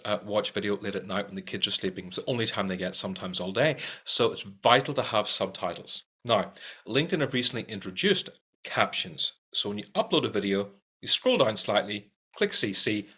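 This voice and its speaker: Man, Irish accent, middle-aged, sales trainer, bright forceful tone, lowish voice, upbeat and practical. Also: slightly rough. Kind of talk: instructional talk to camera.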